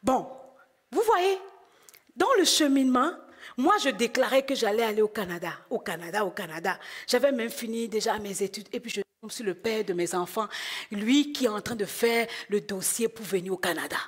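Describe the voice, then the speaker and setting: A middle-aged woman from Benin preaching a sermon and telling her own story, moving from hushed asides to loud exclamations. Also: on the high side, animated, normal speed. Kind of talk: sermon with personal testimony.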